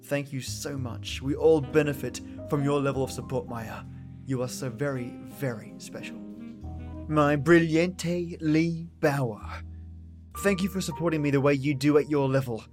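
A man presents a scripted podcast outro. His voice is a little high and his manner effusive and matey. Guitar music plays clearly behind him. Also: measured pace, darkish tone. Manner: effusive, chummy address to listeners. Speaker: podcast host. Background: plucked guitar music.

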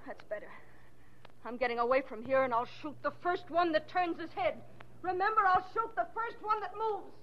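A high-voiced, volatile widow with murder in her, in 1940s radio melodrama. She is intense and theatrical, haughty and vengeful, heard on a muffled old recording. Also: moderate pace, sharp tone, slight background noise. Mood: commanding, threatening.

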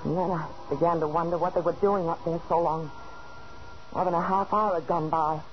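An actress playing a frightened, scheming wife who narrates anxiously in the dramatic style of a 1940s radio thriller. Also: female voice, lowish voice, fairly even intonation, a little fast, a little hiss or hum, natural voice. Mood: tense, brooding. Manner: anxious, dramatic first-person delivery. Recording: muffled old 1940s radio recording, organ music.

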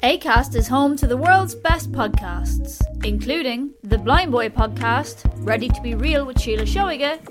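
High-pitched female ad announcer over music. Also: animated, a little slow, few pauses. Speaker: advert announcer. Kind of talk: scripted ad read.

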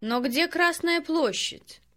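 A woman with a high voice reads model phrases for learners. She says each line slowly and deliberately, clearly pronouncing every word. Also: a bit bright. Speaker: native speaker in language drills. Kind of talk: slow, careful model phrases.

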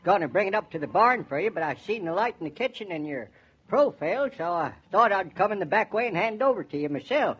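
A husky, rather high-pitched old Yankee countryman from a 1940s radio play. He has a rural New England accent and talks in polite, quirky, homespun phrases with rustic hesitations. The recording is dull and narrow. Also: expressive, fast talker. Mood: hesitant, polite. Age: older. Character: folksy, quirky old Yankee neighbor man, proud and polite. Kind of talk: 1940s radio drama acting.

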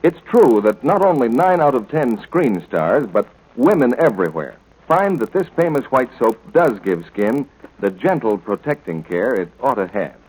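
A man's voice: medium pitch, very animated, normal speed, darkish tone, slightly rough, rough recording. A man announcing in polished, scripted 1940s radio advertisement style.

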